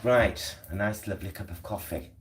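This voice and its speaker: British male vlogger, lowish voice, unhurried hesitant rambling chat, echoey indoor sound. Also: background noise. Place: indoors at home. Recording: echoey room.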